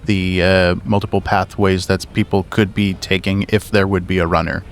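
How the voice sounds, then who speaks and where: A man playing a tabletop roleplaying game, describing his character's actions haltingly as he thinks aloud. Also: low voice, flat delivery.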